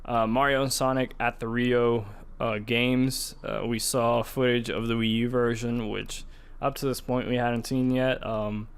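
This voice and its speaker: Clear-voiced man, casual podcast host. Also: mid-range voice, flat delivery, unhurried, hardly any pauses. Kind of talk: casual gaming news discussion.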